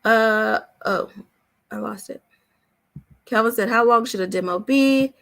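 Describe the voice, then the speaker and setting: A woman's voice: on the high side, measured pace, creaky, fairly bright tone. A woman coaching on a casual livestream, upbeat and encouraging, often checking in with her listeners as she goes. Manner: checks in often with "okay?" and "all right?". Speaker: voice-over coach hosting a live Q&A. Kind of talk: casual livestream talk with promotion.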